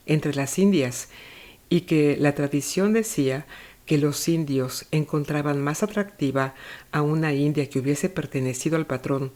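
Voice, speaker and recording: A narrator retelling a novel from a script in a measured storytelling way, with deliberate pauses. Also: medium pitch, darkish tone. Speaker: narrator of a book review.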